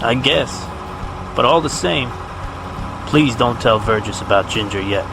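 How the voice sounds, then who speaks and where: Troubled male fighter, earnest, conflicted, audio drama, engines clearly behind. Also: mid-range voice, lively intonation, unhurried, noisy background. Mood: reluctant.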